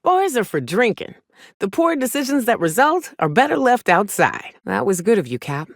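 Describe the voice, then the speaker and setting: An actress playing a hard-drinking, trigger-happy but loyal frontier mercenary in a video game, with folksy, salty, sardonic phrasing. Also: female voice, on the high side, very animated, normal speed, dry recording, studio quality. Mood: dry, reflective. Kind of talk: video game character dialogue.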